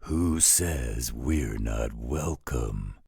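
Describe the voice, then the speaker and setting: A gruff, overprotective werewolf guardian in an audio roleplay. The voice is rock-bottom deep and husky, full of gravel, and he grumbles out his disapproval very slowly in a close, dry recording. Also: expressive, dark tone, dry recording, heavily acted. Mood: protective, disgruntled. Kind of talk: scripted roleplay audio drama.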